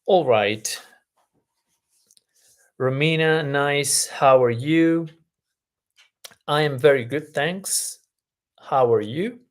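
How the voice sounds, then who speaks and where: A man who is a native Italian speaker, coaching on a live-stream lesson in an unhurried way, with many ums and restarts. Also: a little high. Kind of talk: live-stream lesson, reading chat aloud.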